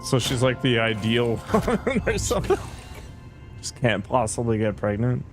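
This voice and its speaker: A man's voice: mid-range voice, very animated, moderate pace, over music. A man chatting casually as he streams a game, musing aloud with fillers and trailing thoughts. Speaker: let's play streamer. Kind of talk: casual gameplay commentary.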